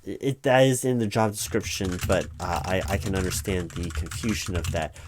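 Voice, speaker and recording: Guy acting as a flustered office hiring manager, lowish voice, polite but stammery, comedy interview roleplay, keyboard clacking. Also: normal speed, noisy background, natural voice. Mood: hesitant, flustered. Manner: hesitant, stammering, distracted, politely evasive. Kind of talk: one-sided comedic job interview audio roleplay. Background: computer keyboard typing, tapping.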